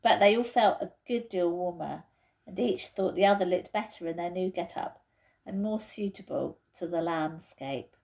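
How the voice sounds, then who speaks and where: A woman reading a children's storybook aloud in a deliberate, expressive way, like a teacher to pupils. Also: lowish voice, creaky.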